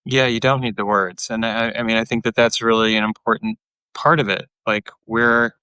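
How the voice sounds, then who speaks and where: A man hosting a podcast interview, talking thoughtfully and haltingly, often repeating words as he searches for them. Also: lowish voice, flat delivery, bright, forceful tone, clear, resonant tone, dry recording. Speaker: podcast host. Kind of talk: casual interview talk.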